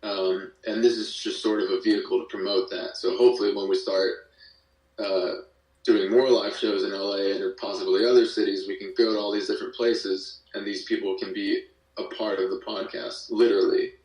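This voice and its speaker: A man with a fairly low voice talks casually as an interview guest. His phrasing is slangy and enthusiastic, and he sounds set back from the microphone in an echoey room. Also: flat delivery, normal speed, darkish tone. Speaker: guest, musician and audio drama creator. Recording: echoey room, distant from mic.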